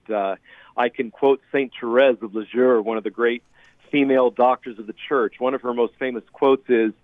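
Middle-aged American male priest, radio interview guest, earnest and emphatic, mid-pitched, thin telephone sound. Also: no room sound. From California, United States. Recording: narrow phone-line sound.

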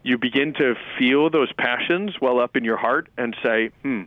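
A man, as a soft-spoken priest on narrow radio audio. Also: medium pitch, dry recording. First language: Lithuanian. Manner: reflective, measured, with frequent fillers and restarts. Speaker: Jesuit priest and pastor, guest. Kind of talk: radio interview.

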